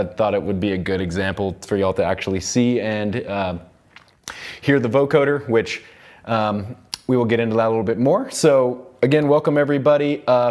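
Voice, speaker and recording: A man's voice: medium pitch, a little mellow. A young adult man with an American accent, an instructor giving a casual live tutorial in a rambling way, often repeating and restarting phrases. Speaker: instructor, seminar host. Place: recording studio control room, seated at mixing console.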